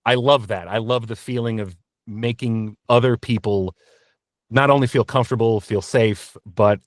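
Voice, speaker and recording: A man answering interview questions candidly as a voice actor, in an earnest, reflective and halting conversational manner. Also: mid-range voice, dry recording, studio quality. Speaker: interview guest, voice actor and narrator.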